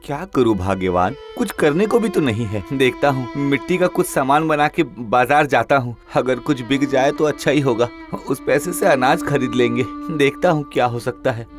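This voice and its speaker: A man playing a poor, meek, anxious village potter in an animated fairy tale, with a deferential, pleading way of talking. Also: mid-range voice, normal speed, hardly any pauses, music in the background, close to an everyday voice. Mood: weary, worried.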